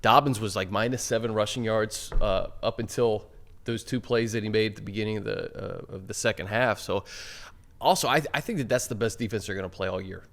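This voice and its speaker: An American man on a sports show panel, talking casually and emphatically in halting, slangy bursts. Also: lowish voice, vocal fry, fairly bright tone.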